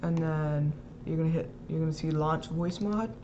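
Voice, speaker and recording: A casual, rambling speaker hosting an informal step-by-step software tutorial, recorded close to the mic as a voice-over. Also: medium pitch, normal speed, lo-fi sound, background noise. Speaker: tutorial host.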